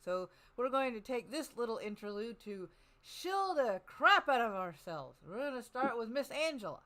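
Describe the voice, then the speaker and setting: Woman hosting a panel stream, highish voice, jokey and irreverent, just chatting. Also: very animated, normal speed, a bit bright. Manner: joking, irreverent. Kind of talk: casual riffing chat.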